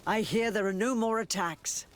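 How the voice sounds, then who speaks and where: A man's voice: high voice, normal speed, a little hiss or hum, natural voice. A man voicing a gracious, courtly dignitary in a video game, with ornate, formal courtesy. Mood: welcoming. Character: courtly male dignitary, gracious and grateful ruler. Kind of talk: video game cutscene acting.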